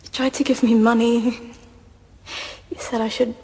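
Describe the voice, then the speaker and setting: An actress playing a frightened teenage girl on the witness stand in a courtroom audio drama, speaking haltingly. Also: a little high, level tone, warm tone, close to an everyday voice. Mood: uneasy, subdued. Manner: halting, faltering. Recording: echoey hall reverb.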